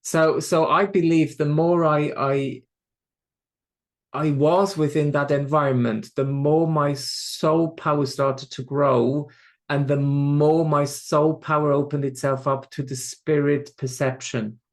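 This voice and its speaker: A man's voice: on the high side, steady tone, slow pace. A man with a Swiss accent, a medium being interviewed on a podcast, speaking reflectively and often restarting and repeating words. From Switzerland.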